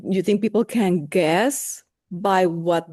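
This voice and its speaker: A woman hosting an interview, asking unhurried questions that she rephrases as she goes. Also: lowish voice, a little mellow.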